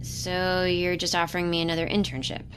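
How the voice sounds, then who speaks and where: A woman's voice: lowish voice, monotone, fairly quick, over music, lightly acted. A woman playing an earnest, plucky young science intern in an audio drama, matter-of-fact and polite. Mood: wary, puzzled. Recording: clear background noise.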